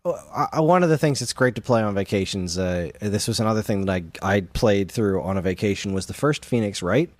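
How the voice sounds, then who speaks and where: A man's voice: lowish voice, lively intonation, brisk, few pauses, no room sound. A man co-hosting a podcast, chatting casually about games in an enthusiastic, rambling, informal way. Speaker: podcast co-host.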